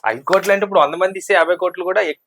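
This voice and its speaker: A man's voice: sits high, racing, a bit bright, slight background noise. A man in a casual discussion, speaking colloquially as a co-host who interjects.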